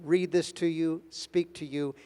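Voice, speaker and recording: A man giving a formal conference lecture in measured, deliberate phrases with gentle humor, pausing for an interpreter. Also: fairly high pitch, fairly even intonation, clean tone. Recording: long hall reverb.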